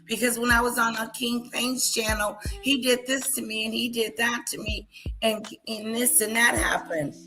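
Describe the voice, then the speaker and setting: A woman's voice: on the high side, steady tone, moderate pace, over music, rough recording. A woman hosting a reaction commentary, scolding and incredulous as she picks apart a clip. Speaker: commentary channel host.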